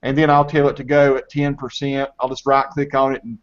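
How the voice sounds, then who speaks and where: A man's voice: mid-range voice, level tone, a little fast. A man in his fifties with a Southern US accent, hosting a casual video call chat and often repeating words as he restarts. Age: 50s. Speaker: host. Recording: dull lo-fi video call audio.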